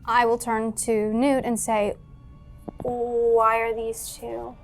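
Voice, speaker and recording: A woman with a fairly high voice plays a tabletop roleplaying game. She talks casually, in and out of character, over faint music. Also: flat delivery, takes it slowly.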